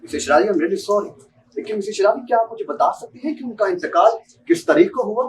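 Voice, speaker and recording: A man's voice: high-pitched, sing-song, a little fast. A student actor, a young man with a Pakistani accent, playing an insistent, politely menacing bank clerk in an amateur stage drama. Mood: coldly menacing. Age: young adult. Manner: formal, insistent, repeatedly addressing the listener politely. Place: seminar hall stage. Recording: distant mic in a hall.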